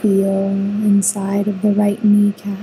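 A woman guiding a sleep meditation with soothing, unhurried instructions and long pauses between phrases. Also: medium pitch, monotone, mellow, a little hiss or hum, rough recording. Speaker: meditation guide.

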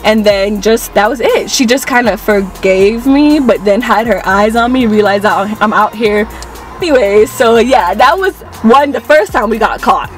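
A woman's voice: a little high, normal speed, soft background music. A young woman vlogger telling a gossipy storytime in a parked car, talking into a camera at arm's length. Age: young adult. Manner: animated and gossipy, full of quoted voices. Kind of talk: casual storytime.

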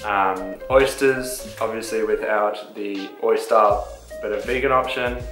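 Low-voiced young man, easygoing vlogger. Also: fairly even intonation, measured pace, a bit bright, roomy sound, soft background music. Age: young adult. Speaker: vlog co-host. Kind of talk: casual chat. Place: bright indoor room, seated.

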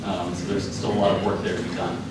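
A man's voice: low-pitched, flat delivery. Young man presenting in a very echoey room. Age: young adult. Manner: halting, hedging, many filled pauses. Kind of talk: informal academic news presentation. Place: lecture hall, speaking at the front. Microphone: far camera microphone. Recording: echoey lecture room, distant microphone, clear noise.

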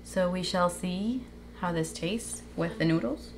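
A young woman with a slightly low voice talks casually through her groceries, filling pauses with likes and ums. She is recorded on a camera in her home kitchen, with faint noise underneath. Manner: chatty, many likes and ums, holds up items to camera. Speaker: vlogger. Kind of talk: casual grocery haul show-and-tell.

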